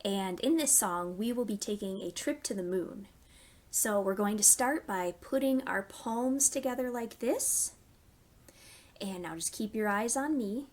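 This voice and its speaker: A woman hosting a storytime for young children, reading stories and giving simple, clearly phrased instructions. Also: medium pitch. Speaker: children's library storytime host.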